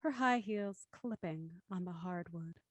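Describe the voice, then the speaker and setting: A woman narrating an audio drama in a soft, measured way. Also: on the low side, no echo.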